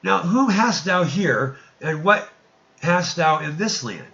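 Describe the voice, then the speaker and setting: A man voicing an earnest, urgent biblical patriarch in a scripture reading, slow, emphatic and declamatory. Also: a little high, lively intonation, vocal fry, pushed and bright, dull audio, pitched above the performer's own voice, full character voice. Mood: urgent pleading. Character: earnest, urgent biblical patriarch Abram pleading with his father.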